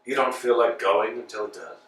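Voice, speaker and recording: An actor playing a pompous, blustering landowner in a stage reading, with grand theatrical delivery. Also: male voice, fairly low pitch, fairly even intonation, fairly quick, fairly bright tone, close to an everyday voice. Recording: echoey room from far off.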